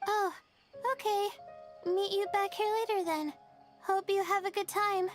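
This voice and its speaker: Very high, earnest young girl. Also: fairly even intonation, unhurried, faint music behind. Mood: earnest, hopeful. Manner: earnest, slightly hesitant. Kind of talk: video game character acting.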